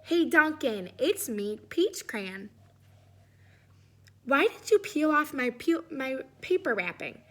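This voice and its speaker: A woman's voice: high-pitched, big pitch swings, takes it slowly, heavily acted. A woman voicing an embarrassed, pleading crayon in a storybook, with a plaintive manner. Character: embarrassed, pleading naked Peach Crayon stripped of its wrapper.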